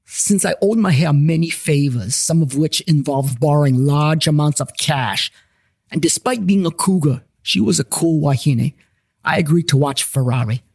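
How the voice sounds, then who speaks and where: A man's voice: on the high side, moderate pace, creaky, darkish tone, slightly rough, no echo, studio quality. A man telling a scripted comic story in the first person, with wry, irreverent asides to the listener. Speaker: storyteller narrator and host of a paranormal investigations series. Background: plucked guitar music.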